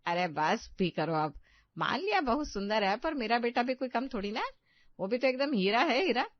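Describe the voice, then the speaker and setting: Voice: medium pitch, sing-song, moderate pace, dry recording, muffled recording, natural voice. A performer voicing a proud, jealous middle-aged mother-in-law who dotes on her son. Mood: boastful.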